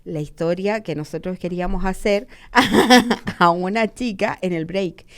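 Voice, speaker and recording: A woman's voice: fairly low pitch, measured pace. A woman from Chile, a photojournalist guest, telling a story with enthusiasm and acting out the lines of the people in it. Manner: enthusiastic, acts out quoted dialogue. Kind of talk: animated storytelling.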